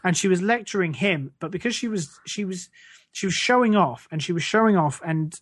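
A man's voice: high-pitched, lively intonation, fairly quick, a bit bright, dry recording. An English man hosting a podcast in a casual comic rant, sweary, scornful and sarcastic. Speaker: podcast host.

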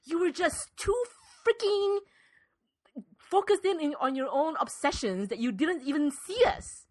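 A woman's voice: sits high, expressive, unhurried, darkish tone. A woman co-hosting a podcast in casual, opinionated chat, emphatic and circling back over her phrases. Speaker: podcast co-host.

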